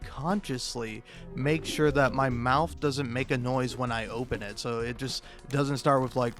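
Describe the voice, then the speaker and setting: A man improvising a self-deprecating comic monologue for a gaming video, rambling and halting, often interrupting himself and swearing casually. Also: mid-range voice, a bit bright. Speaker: video game YouTuber.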